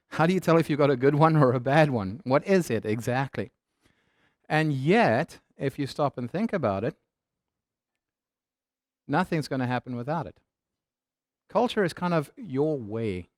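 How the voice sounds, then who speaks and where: A man's voice: on the high side, lively intonation, unhurried, pauses often, no echo, studio quality. A man from South Africa with a mixed South African accent, giving a humorous, conversational business talk. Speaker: keynote speaker.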